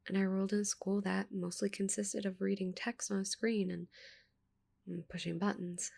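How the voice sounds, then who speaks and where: A woman plays a touch-starved, curious giant alien woman in an intimate ASMR roleplay. Her voice is soft and whispery, breathy with a light crackle of fry. She speaks hesitantly and awkwardly, tripping over her words in a flustered way. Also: mid-range voice. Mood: wistful, intimate.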